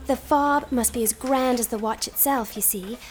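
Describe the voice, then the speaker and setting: An actress playing a devoted young newlywed wife, earnest and hesitant, in a radio drama. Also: female voice, a little high, moderate pace, a little hiss or hum, close to an everyday voice. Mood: eager, earnest. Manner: hesitant, earnest, breathlessly eager. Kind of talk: stage-style radio drama acting.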